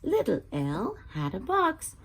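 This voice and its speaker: Slow, animated woman reading to children. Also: on the high side, slight background noise, poor audio. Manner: expressive, asks listeners questions. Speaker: children's read-aloud channel host. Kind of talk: storybook read-aloud for young children.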